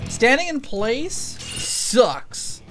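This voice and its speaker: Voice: medium pitch, sing-song, very slow. A young adult streamer giving enthusiastic, rambling, casually profane commentary while playing a video game. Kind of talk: casual gameplay commentary on a livestream. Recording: game music behind the voice. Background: video game music.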